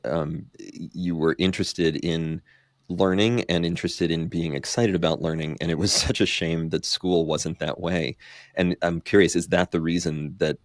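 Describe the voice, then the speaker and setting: A male podcast host with a very low voice asks his guest curious, encouraging questions. The sound is dry and close, with no room echo. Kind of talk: casual interview.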